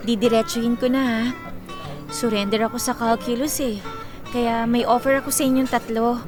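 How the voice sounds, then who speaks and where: A high, youthful female voice from an actress plays a self-assured college beauty in a Filipino radio drama. She is expressive and playful, breezy in her delivery. Music runs clearly behind her. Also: moderate pace, natural voice. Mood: bold, playful. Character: confident, playful young college woman, rich beauty queen.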